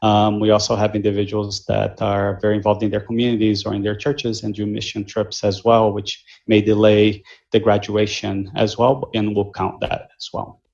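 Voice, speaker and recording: A man with a Brazilian Portuguese accent and a low, gravelly voice walks prospective students through admissions. He talks in measured stretches full of small fillers and restarts. The video call audio sounds dull and muffled. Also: monotone, darkish tone. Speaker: admissions director. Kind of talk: answering questions in a virtual info session.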